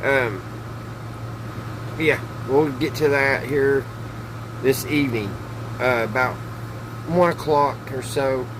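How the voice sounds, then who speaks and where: A man's voice: medium pitch, slow, long pauses, noisy background. A middle-aged man chatting casually and thinking aloud in a folksy, hesitant way as he demonstrates hands-on tinkering. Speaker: host of a hobby radio repair channel. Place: small wood-panelled indoor workshop.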